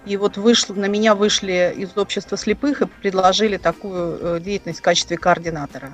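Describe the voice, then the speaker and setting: A woman guest in an interview, speaking quietly and hesitantly with many fillers. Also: medium pitch, fairly even intonation, fairly quick, soft background music. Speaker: guest, refugee aid coordinator. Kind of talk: interview answers.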